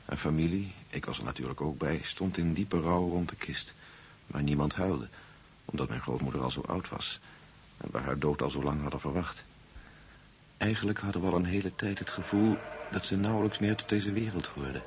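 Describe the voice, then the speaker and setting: A man's voice: low-pitched, moderate pace, a little hiss or hum. An actor playing a grave man who narrates a story in the first person in a radio drama. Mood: grave, measured. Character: Pavel, grave male friend recounting a ghostly tale.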